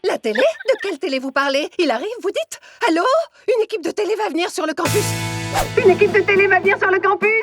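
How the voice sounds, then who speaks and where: High, lively, theatrical cartoon organizer girl, bossy and gushing. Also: fast, music in the background. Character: bossy, excitable young woman running a talent campus. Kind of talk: French cartoon dubbing.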